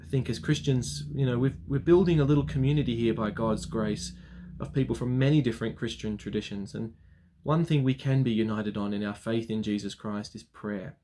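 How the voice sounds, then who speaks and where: A man's voice: medium pitch, mellow. A man in his thirties, a clergyman, talking to viewers on his weekly vlog and leading a solemn spoken prayer from his study. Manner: earnest, solemn, measured prayer cadence. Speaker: Anglican clergyman. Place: study with bookshelves, indoors. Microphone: webcam framing at desk.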